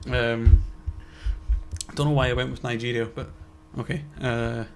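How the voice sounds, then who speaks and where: A young man chatting loosely on a podcast. He rambles and doubles back on himself, adding wry side comments. His pitch sits in the middle, with faint noise behind him. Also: steady tone, a little slow, a little mellow. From Scotland. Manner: rambling, self-interrupting and wry. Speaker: podcast co-host. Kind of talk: casual chat.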